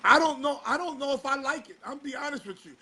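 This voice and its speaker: A male show host, slightly hoarse, talking in a casual commentary style and firing off exasperated rhetorical questions. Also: very high voice, expressive, fast talker, sharp tone, a little hiss or hum.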